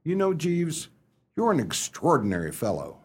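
An actor playing a flustered upper-class young English gentleman in a radio play, hesitant and bumbling, narrating his own scrapes in old-fashioned slang. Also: a little high, wildly swooping pitch, moderate pace, slight background noise. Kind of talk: radio play storytelling and dialogue.

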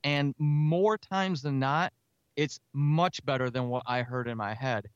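A talkative, energetic man speaking casually as a guest in an interview about his creative work. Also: mid-range voice, a little slow, smooth, no echo, muffled recording. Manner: energetic, talkative, self-described extrovert. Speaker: audio drama writer and podcaster, interview guest.

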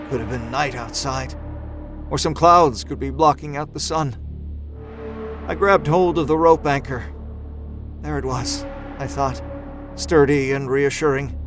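A desperate climber narrating his own horror story. His voice sits fairly high, and he tells it intensely and theatrically, worn out but driven. A musical score plays underneath. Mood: hushed, wary. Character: desperate, exhausted man climbing out of an ice crevasse. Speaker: audio fiction narrator. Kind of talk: dramatic first-person horror story narration.

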